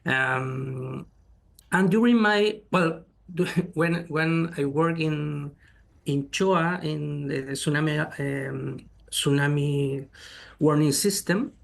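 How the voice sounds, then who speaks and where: A middle-aged man with a Chilean Spanish accent, a guest researcher answering interview questions in halting English with many fillers and restarts. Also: fairly high pitch, creaky.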